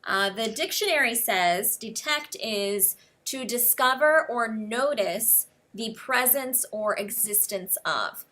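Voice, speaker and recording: An American woman teaching English one-on-one, explaining words slowly and spelling them out letter by letter. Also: fairly high pitch, animated, pushed and bright.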